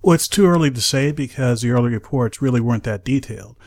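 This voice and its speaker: An American man speaking as an aviation safety analyst, in a measured, careful, explanatory way. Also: medium pitch, a little mellow. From United States. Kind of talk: measured explanatory interview talk.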